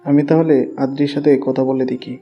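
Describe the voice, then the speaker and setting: A man's voice: mid-range voice, level tone, brisk, dull audio, soft background music, lightly acted. A man playing a hesitant, mild elder brother in an audio story. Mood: worried, hesitant. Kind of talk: Bengali audio story acting.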